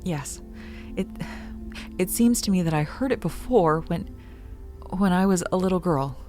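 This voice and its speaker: An actress playing an earnest, yearning young daughter in a radio drama. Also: female voice, lowish voice, expressive, measured pace, dark tone, soft background music. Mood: urgent, pleading. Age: young adult.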